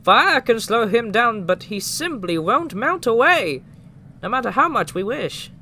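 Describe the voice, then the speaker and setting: An actor playing a timid, gentle toy lord, a jack-in-the-box, in a polite, hesitant, theatrical manner for an audio drama. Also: medium pitch, very animated, unhurried, slight background noise, heavily acted. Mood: gloomy, wary. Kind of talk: amateur audio drama acting.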